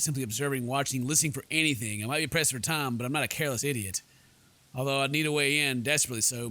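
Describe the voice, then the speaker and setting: Bright-voiced, theatrical man doing game commentary. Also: medium pitch. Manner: theatrical, goofy. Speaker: gaming video host. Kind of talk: playthrough commentary with dramatic read-aloud narration.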